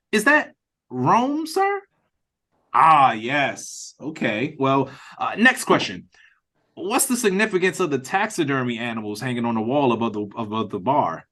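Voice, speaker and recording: A man playing a chatty, cheeky customer in an audio drama read-through, with exaggerated politeness and hesitant pauses. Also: mid-range voice, fairly bright tone. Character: chatty, cheeky, hungry male restaurant customer. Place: remote reading over a video call. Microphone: video call.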